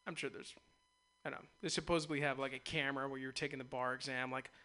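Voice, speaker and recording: A man's voice: mid-range voice, fairly even intonation, fast talker, pushed and bright. A man hosting a radio interview show, chatting loosely in a rambling way and laughing easily. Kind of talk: casual interview chat.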